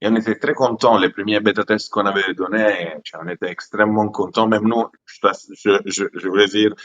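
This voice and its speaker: A man from Italy speaking French with an Italian accent, halting and repeating words as he searches for them in a casual live chat. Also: on the low side, steady tone, a bit bright, dull audio. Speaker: aquarium product company founder.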